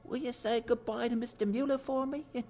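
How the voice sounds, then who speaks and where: An actor playing a poor, downcast but stubborn schoolboy in a radio drama, with an English accent and halting, uneducated street speech. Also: medium pitch, fairly even intonation, warm tone, natural voice. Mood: sad, resigned. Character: poor schoolboy, downcast, stubborn yet polite, facing the almshouse.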